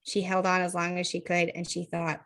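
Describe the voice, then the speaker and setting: Chatty podcast woman, slightly croaky. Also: on the low side, monotone, brisk, a bit bright. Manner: chatty, laughs often, thinks aloud with fillers. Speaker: podcast co-host. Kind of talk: casual chat.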